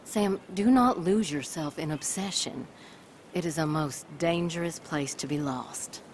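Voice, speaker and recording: An actress playing a stern but compassionate woman in a video game, with formal, measured phrasing. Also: low voice, a little hiss or hum. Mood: stern, cautioning. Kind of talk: video game cinematic dialogue.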